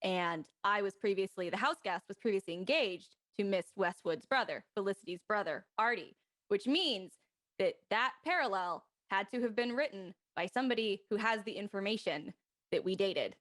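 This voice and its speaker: A woman's voice: mid-range voice, expressive, moderate pace, fairly bright tone. A woman in a casual group discussion, thinking aloud as she reasons through her points. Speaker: panelist on a live show.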